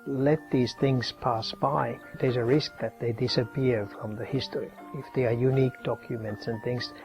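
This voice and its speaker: Calm guy explaining stuff in his office, medium voice, a little music under it. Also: medium pitch, moderate pace. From Finland. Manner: calm, explanatory, demonstrating objects as he talks. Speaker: professor and collector. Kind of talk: interview, explaining his collection.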